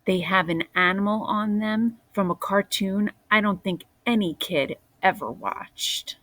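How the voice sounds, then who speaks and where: A woman reading a children's picture book aloud in a deliberate way, as a teacher does for young listeners. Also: medium pitch, a bit bright. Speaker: storybook reader, teacher.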